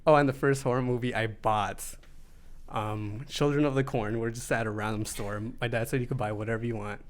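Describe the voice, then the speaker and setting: A man in a casual podcast chat, talking in a relaxed, rambling way with plenty of "like" and "you know." His voice sits at an ordinary middle pitch for a man, neither high nor low. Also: a little fast. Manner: relaxed storytelling with many fillers. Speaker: podcast guest.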